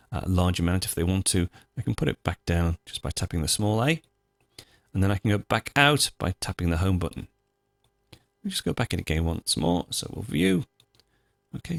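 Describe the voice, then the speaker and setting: A man hosting a software tutorial, talking through each step in an informal, unscripted, explanatory way. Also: on the low side, creaky, no room sound, clean studio sound. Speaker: host of a software tutorial show. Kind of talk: screencast tutorial, unscripted walkthrough.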